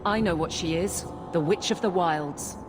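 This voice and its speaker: An actress playing a stubborn, protective warrior wife in a fantasy game, forceful, emotional and resolute. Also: female voice, lowish voice, normal speed, background noise, natural voice. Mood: wary. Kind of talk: dramatic fantasy game acting. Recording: loud music behind the voice.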